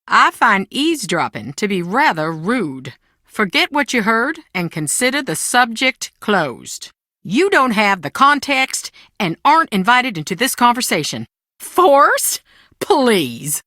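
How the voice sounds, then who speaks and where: Game role: middle-aged mother, proud and anxious, defensive, lively swooping delivery, close dry sound. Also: fairly high pitch, measured pace, no echo, heavily acted. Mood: defensive, indignant. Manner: defensive, theatrical, emotionally expressive. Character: proud, anxious Southern mother guarding family secrets. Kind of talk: video game voice acting.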